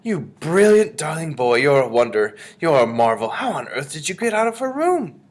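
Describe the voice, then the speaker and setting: A man voicing an affectionate, composed old grandmother in a storybook reading, speaking with gentle emphasis. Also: medium pitch, wildly swooping pitch, fast, breathy edge, voice pushed up, full character voice. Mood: relieved, loving.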